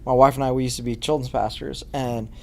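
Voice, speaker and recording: A man around forty, a pastor telling stories in a relaxed podcast conversation. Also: mid-range voice, fast talker, creaky. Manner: conversational, storytelling, self-deprecating. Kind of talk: casual chat.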